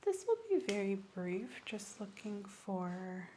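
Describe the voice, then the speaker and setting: A woman doing an ASMR doctor roleplay, soft-spoken, gentle and instructive, often slipping into whispers. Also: medium pitch, very animated, slow, a little hiss or hum. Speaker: ASMR performer role-playing a doctor.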